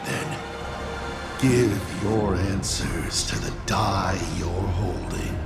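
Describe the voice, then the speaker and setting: An actor playing a cunning, grandiose villain lord in a video game, teasing and theatrical in his delivery. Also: lowish voice. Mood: taunting. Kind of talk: dramatic video game voice acting. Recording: echoing voice with music underneath. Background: music.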